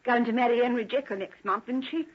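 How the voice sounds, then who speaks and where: A woman acting as a husky-voiced housekeeper in a vintage radio play. She is bitter and guarded, answering in a blunt, scornful, evasive way. The recording is dull and lo-fi. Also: a little high, fast talker, close to an everyday voice. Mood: suspicious.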